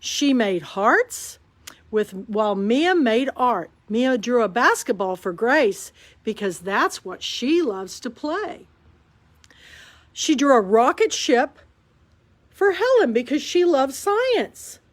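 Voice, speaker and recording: A woman reading a children's picture book aloud at storytime, slowly and with lots of expression. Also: a little high. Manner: slow, expressive read-aloud delivery. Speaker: storytime reader.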